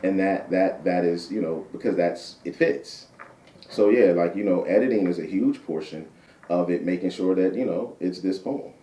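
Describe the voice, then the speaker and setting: A man with a deep voice, a guest poet chatting casually in an interview, repeating himself and tagging points with "right?". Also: moderate pace, warm tone. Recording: roomy sound from a distant mic.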